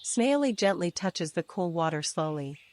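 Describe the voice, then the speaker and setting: Lowish-voiced woman narrating a bedtime story. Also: lively intonation, moderate pace, a little mellow, a little hiss or hum. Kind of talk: scripted children's story narration. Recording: faint birdsong and nature ambience. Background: birdsong, crickets, forest ambience.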